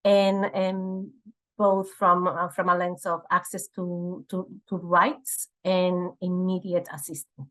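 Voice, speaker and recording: An earnest woman from Ecuador in her forties talks through her answers in a lowish voice. She searches for words often, repeating herself and filling pauses as she goes. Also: a bit bright, low-quality recording. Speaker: guest, nonprofit fundraising director. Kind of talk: conversational interview answers.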